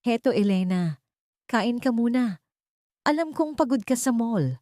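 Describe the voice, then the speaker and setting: Audio story wife acted by a woman: tender, persuasive, soft-spoken, quietly calculating, close dry sound. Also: on the high side, expressive, unhurried, dry recording, voice pushed up. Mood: caring. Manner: tender, coaxing. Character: caring, soft-spoken wife secretly plotting revenge.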